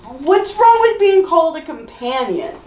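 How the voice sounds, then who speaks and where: A woman's voice: very high voice, sing-song, measured pace, darkish tone. A middle-aged woman chatting casually as co-host of a fan discussion show. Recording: echoey room.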